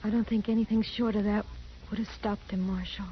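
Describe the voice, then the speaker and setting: Woman playing ashamed frontier woman, halting plaintive speech, meek, dull hissy old radio drama sound. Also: medium pitch, flat delivery, lightly acted. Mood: ashamed, sorrowful. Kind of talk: 1950s radio western acting. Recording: muffled, hissy 1950s radio drama recording.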